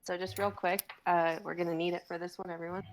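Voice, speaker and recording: A woman's voice: fairly low pitch, little pitch movement, quick pace, a little hiss or hum. A young woman co-hosting a live stream, talking casually with a group. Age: young adult.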